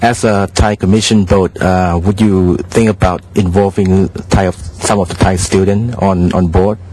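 A man interviewing a guest, asking questions haltingly with many pauses. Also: sits low, steady tone, a little mellow, a little hiss or hum. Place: outdoors at a regatta.

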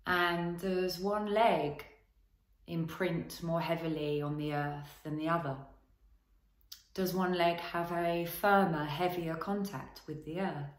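A woman leading a guided body scan as a relaxation teacher, giving gentle instructions in short phrases with long pauses. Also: fairly low pitch, fairly even intonation, reverberant.

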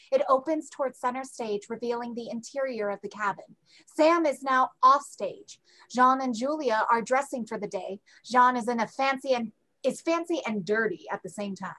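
Woman reading out stage directions on a video call, highish voice, scripted. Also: expressive, moderate pace, bright, forceful tone, low-quality recording.

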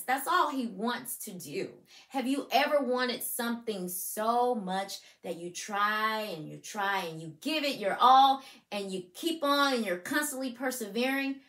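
A woman hosts a children's read-aloud with a lively, swooping delivery. Her voice is fairly high and she takes her time, talking expressively to young listeners. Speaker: school counselor and read-aloud host. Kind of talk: animated children's storybook read-aloud and introduction. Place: furnished room at home, indoors.

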